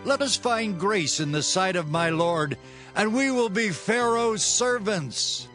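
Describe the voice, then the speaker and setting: A man voicing starving commoners who plead slowly for bread in a dramatized scripture reading. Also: animated, fairly bright tone, faint music behind, exaggerated voice. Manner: high, slow, pleading voice.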